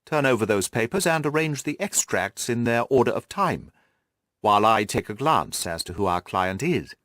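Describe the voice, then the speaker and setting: A man voicing a shrewd, self-assured male detective in an audiobook, speaking in a precise, formal, animated manner. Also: medium pitch, moderate pace, dry recording, studio quality, close to an everyday voice. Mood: businesslike. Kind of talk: classic detective audiobook dialogue.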